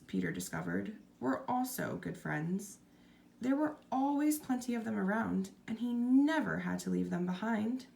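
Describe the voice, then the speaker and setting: A woman reading a children's storybook aloud as narrator, in an expressive scripted style. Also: fairly high pitch, vocal fry.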